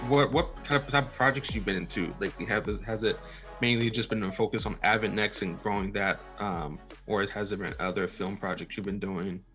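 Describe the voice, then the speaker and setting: A man talking casually as a podcast guest, thoughtful and a little halting. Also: fairly low pitch, music in the background. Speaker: podcast guest, producer and director. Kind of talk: casual chat.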